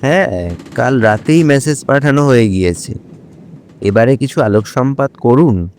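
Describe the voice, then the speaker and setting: A man's voice: mid-range voice, moderate pace, darkish tone, slight background noise, natural voice. A male actor playing a puzzled, curious police officer in an audio drama, speaking in a questioning manner. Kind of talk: Bengali audio drama dialogue.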